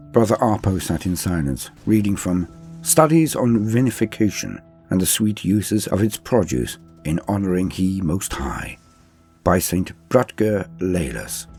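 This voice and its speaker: A man's voice: low-pitched, darkish tone. A man giving a solemn, theatrical dramatic reading of a science fiction war story as its narrator.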